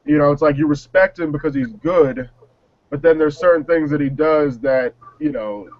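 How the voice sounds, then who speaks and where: A man in a casual panel discussion, talking in a measured, reflective way. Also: on the high side, no echo, dull audio. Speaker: panelist on a music talk show.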